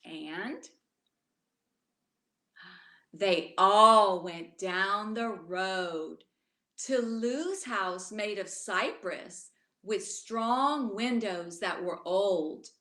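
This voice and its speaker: Slow-paced woman reading a bedtime story. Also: medium pitch, echoey room. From Louisiana. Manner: expressive, addresses young listeners directly. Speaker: children's author reading aloud. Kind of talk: bedtime story read aloud to children.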